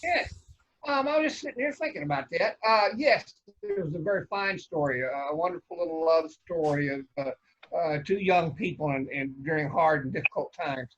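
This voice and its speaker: A man giving casual feedback on a video call, speaking haltingly and repeating words as he goes. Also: on the high side, lively intonation, fairly bright tone. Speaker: table read participant giving feedback. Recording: dull video-call audio.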